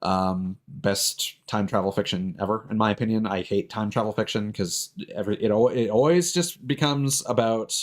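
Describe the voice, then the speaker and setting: A man giving casual let's-play commentary as a streamer, talking in a rambling, halting way. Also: fairly low pitch. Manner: rambling, hesitant, halting delivery; casual swearing. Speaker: game streamer, host. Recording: game music behind the voice. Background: video game music.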